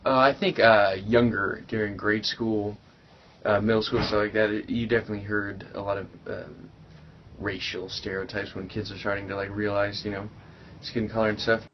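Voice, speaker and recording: A man's voice: fairly low pitch, little pitch movement, moderate pace, a little hiss or hum. A young man in a documentary interview, speaking casually and hesitantly with lots of fillers. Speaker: interviewee, student. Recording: dull, lo-fi sound.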